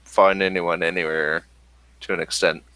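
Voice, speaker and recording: A man running a tabletop role-playing game as game master, explaining the rules with hesitant pauses and fillers. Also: low voice, level tone, sharp tone.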